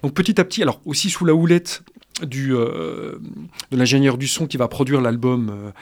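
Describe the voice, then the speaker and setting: A man explaining music history in a radio discussion, halting with many restarts and fillers but analytical. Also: mid-range voice, a little mellow. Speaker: guest expert on music history.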